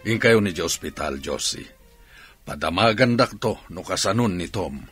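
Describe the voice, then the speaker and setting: Casting: kindly middle-aged Ilocano male boss, mid-pitched, animated radio drama delivery over faint music. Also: normal speed. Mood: reproachful, compassionate.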